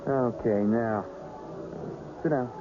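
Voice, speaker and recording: An actor in a radio drama playing a wary young fortune-teller with a gruff, streetwise, suspicious manner. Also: male voice, mid-range voice, fairly even intonation, slow, close to an everyday voice. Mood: impatient, businesslike. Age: young adult. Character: wary, brusque young fortune-telling apprentice. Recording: dull, noisy old radio broadcast.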